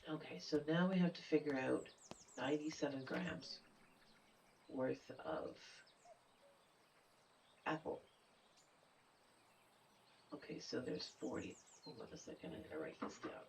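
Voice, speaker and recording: A woman with a stuffy nose playing a video game, thinking out loud in casual commentary and sometimes dropping to a mutter or whisper. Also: a bass voice, very animated, unhurried, vocal fry, pauses often, recorded from a distance, a little hiss or hum, low-quality recording. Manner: thinks aloud, muttering and half-whispering while working things out. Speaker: gameplay streamer. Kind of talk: casual let's play commentary.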